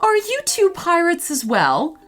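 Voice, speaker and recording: A woman voicing a warm, doting and grateful mother Tyrannosaurus in a children's story. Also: very high-pitched, huge pitch swings, a little slow, soft background music, pitched above the performer's own voice, full character voice. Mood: gracious. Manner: affectionate, gracious.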